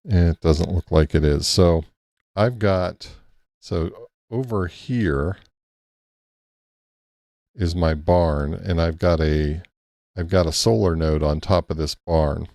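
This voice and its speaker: Slow, deep, gravelly man. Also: a little mellow, dry recording. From Texas. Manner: halting, thinks aloud with many restarts and fillers. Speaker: ham radio live stream host. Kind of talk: casual live stream screen walkthrough.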